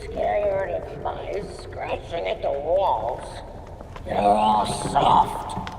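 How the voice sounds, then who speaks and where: Cruel rich schemer, slow gravelly whisper, echoey big room. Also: female voice, low-pitched, big pitch swings, darkish tone, full character voice. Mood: scheming. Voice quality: whispery, disdainful. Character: scheming wealthy woman, cruel and contemptuous. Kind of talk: game cutscene acting. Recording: echoey hall with background noise.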